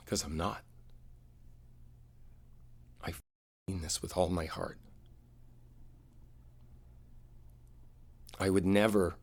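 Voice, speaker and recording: A low-pitched, earnest boyfriend, acted by a man in an intimate audio roleplay. He sounds wounded and sincere, confiding in his partner with halting phrases, deep in register and set on making up. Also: slightly rough, heavily acted. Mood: tender, pleading.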